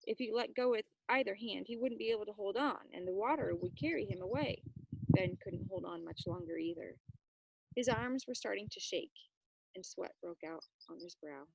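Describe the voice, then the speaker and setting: A woman's voice: medium pitch, expressive, normal speed, gravelly, slightly rough, no echo, poor audio. A woman reading a children's novel aloud with dramatic, suspenseful narration. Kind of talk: expressive read-aloud narration.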